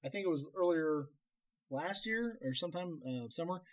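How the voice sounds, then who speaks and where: A man hosting a casual, chatty interview in a halting, rambling, self-deprecating way. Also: a little high, animated. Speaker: podcast interview host.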